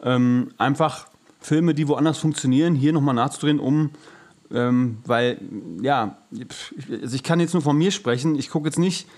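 Male co-host, medium-pitched voice, loose colloquial conversation that hedges and pushes back. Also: moderate pace, darkish tone. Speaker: podcast co-host. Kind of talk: casual podcast chat.

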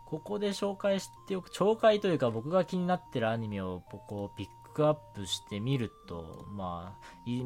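A man hosting a casual solo radio show, chatting politely with many small filler particles. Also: fairly low pitch. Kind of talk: casual solo radio talk about anime. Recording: music bed under the voice. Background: background music.

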